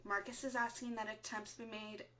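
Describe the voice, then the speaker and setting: A woman playing a composed, earnest resistance radio broadcaster in a scripted audio drama, speaking in a measured, solemn way. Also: a little high, little pitch movement, sharp tone, a little hiss or hum. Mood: calm, serious. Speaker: radio show host.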